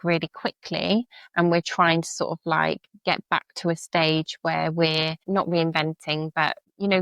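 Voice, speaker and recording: A woman's voice: lowish voice, fairly bright tone, no room sound. A woman being interviewed on a podcast as a charity leader, speaking earnestly and reflectively with some filler words. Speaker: charity CEO and veteran, guest.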